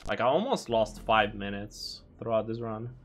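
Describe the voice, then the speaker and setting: A man's voice: fairly low pitch, lively intonation, normal speed, slight background noise. Chatty Romanian man commentating a speedrun. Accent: sounds American. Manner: chatty, easily exasperated, talks to his chat. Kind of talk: casual live commentary over gameplay.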